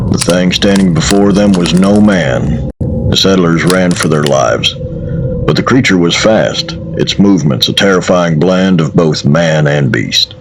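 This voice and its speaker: Creepypasta narrator, male: very low register, slow delivery, scripted storytelling over clearly audible background noise.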